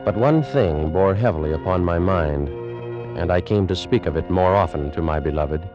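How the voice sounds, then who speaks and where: A man's voice: deep voice, moderate pace, close to an everyday voice. A man acting an ardent, anguished Egyptian priest in an old-time radio drama, speaking in a solemn, formal, declamatory manner. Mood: solemn, brooding. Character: ardent ancient Egyptian priest, anguished lover telling his past. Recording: muffled vintage radio recording with music.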